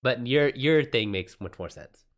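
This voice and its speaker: A man hosts a relaxed livestream at a medium pitch, talking casually to his chat. His delivery is informal and halting, full of slang and the odd swear word. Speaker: livestream host and writer. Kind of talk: casual livestream chat, reacting to viewer messages.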